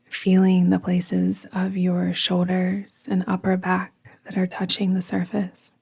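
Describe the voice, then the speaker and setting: A woman guiding a yoga nidra meditation in a soothing, gentle, deliberate manner, often trailing off into a whisper. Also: lowish voice, gravelly, breathy edge. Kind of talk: guided meditation narration.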